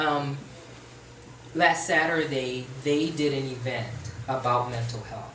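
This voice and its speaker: Guest therapist, woman, very low voice, serious and passionate, recorded off-mic in a roomy space. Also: steady tone, slow pace, fairly bright tone, a little hiss or hum. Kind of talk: talk show guest conversation. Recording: roomy sound from a distant mic.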